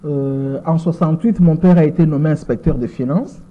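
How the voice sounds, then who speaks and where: A woman in her sixties with a Congolese French accent, giving measured answers as an interview guest and often repeating words while she searches for them. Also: low-pitched. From Democratic Republic of the Congo. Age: 60s. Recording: tinny phone-like sound.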